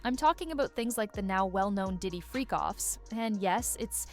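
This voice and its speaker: A woman's voice: medium pitch, lively intonation, moderate pace, soft background music. A woman reading scripted gossip-video narration in a dramatic, suspense-building way.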